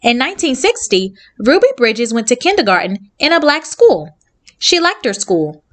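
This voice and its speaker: A woman hosting a children's read-along, reading aloud and addressing young listeners in simple, clearly phrased sentences. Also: a little high, very animated, moderate pace, a bit bright.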